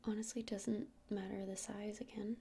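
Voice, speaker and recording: A woman playing a gentle crystal-shop witch in an ASMR roleplay, whispering breathily and explaining things unhurriedly with hesitant pauses. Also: medium pitch, level tone. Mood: soothing, earnest. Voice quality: breathy whisper with creaky edges.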